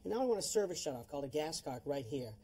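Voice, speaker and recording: A man's voice: on the high side, sing-song, rapid-fire, very noisy. A middle-aged man giving a plain-spoken, instructive demonstration as a home improvement heating expert. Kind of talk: hands-on explanatory demonstration.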